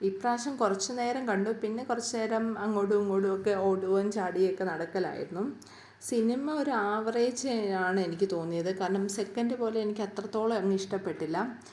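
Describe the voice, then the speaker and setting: A woman's voice: medium pitch, normal speed, darkish tone. A woman with a Malayali accent narrating her day as a vlogger in a casual, conversational, homely storytelling way. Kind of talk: casual daily vlog narration.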